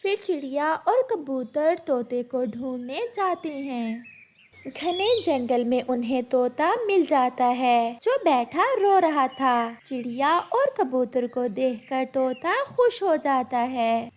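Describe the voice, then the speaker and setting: A woman's voice: high-pitched, animated, slow pace. A woman reading scripted children's animal stories as a narrator in a storybook style.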